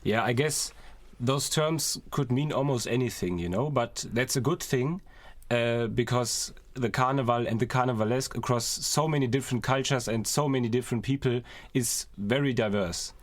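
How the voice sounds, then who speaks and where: A man's voice: medium pitch, moderate pace, no echo. Young man co-hosting, dry close sound. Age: young adult. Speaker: student podcast co-host. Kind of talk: conversational podcast discussion. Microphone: close podcast microphone.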